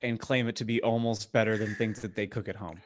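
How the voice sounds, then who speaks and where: A man's voice: lowish voice, fairly even intonation, fairly quick. A man co-hosting a podcast in casual, joking conversation. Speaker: podcast co-host.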